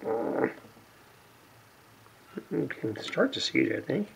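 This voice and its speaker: A man giving unscripted, casual narration of hands-on repair work, halting and muttering to himself as he goes. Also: medium pitch, very animated, creaky, slight background noise, poor audio. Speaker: hobbyist radio repairer, video host. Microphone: camera mic near the workbench.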